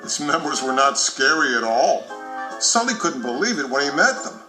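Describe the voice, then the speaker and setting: A man tells a children's story from a script. His voice sits slightly high and moves around in a lively, expressive way. Music plays clearly behind him. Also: normal speed, rough recording. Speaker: storybook narrator.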